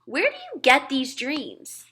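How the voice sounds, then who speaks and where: A woman's voice: high-pitched, wildly swooping pitch, takes it slowly, bright, forceful tone, pitched above the performer's own voice, exaggerated voice. A woman voicing a small, curious, earnest girl in a children's storybook, questioning and pleading persistently. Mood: puzzled. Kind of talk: children's storybook read-aloud.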